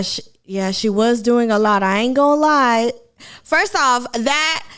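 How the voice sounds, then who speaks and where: A woman's voice: sits high, a bit bright. A woman from Kenya with a Kenyan accent, chatting casually to viewers on a live stream about a TV show. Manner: chatty, addresses viewers as y'all.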